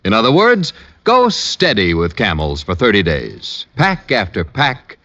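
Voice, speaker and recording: A man's voice: lowish voice, huge pitch swings, a little slow, bright, forceful tone. A man announcing in emphatic 1950s radio commercial style, selling every phrase. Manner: emphatic salesmanship. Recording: dull lo-fi old radio recording.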